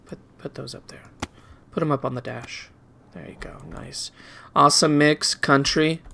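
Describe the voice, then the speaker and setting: A man's voice: on the high side, fairly even intonation, moderate pace, gravelly, pushed and bright, slight background noise. A man giving casual video game commentary, rambling and muttering playfully to himself as he plays.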